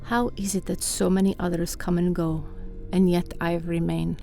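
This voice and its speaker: Dark-voiced, gravelly captive. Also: female voice, lowish voice, little pitch movement, normal speed, soft background music. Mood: resentful. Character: captive woman bound in service to a vampire. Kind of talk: radio play acting.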